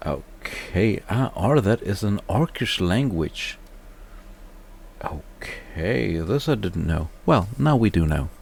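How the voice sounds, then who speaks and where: Deep male voice, slow delivery, casual reviewer, halting and unscripted. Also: very animated, vocal fry, darkish tone, husky. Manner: halting, searching for words, often chuckling to himself. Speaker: reviewer, video host. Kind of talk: casual off-the-cuff book review.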